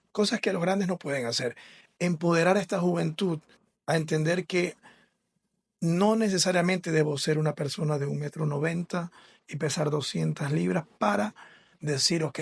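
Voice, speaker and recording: Earnest Ecuadorian man, fairly high voice. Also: creaky, slightly rough. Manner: earnest, explanatory, with fillers and restarts. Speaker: school administrator. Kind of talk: podcast interview.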